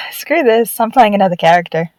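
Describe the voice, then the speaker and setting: A woman's voice: on the high side, sing-song, normal speed, dry recording. A woman playing a young male animatronic puppet, shy, supportive and cheeky, in an audio drama. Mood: playful, indignant.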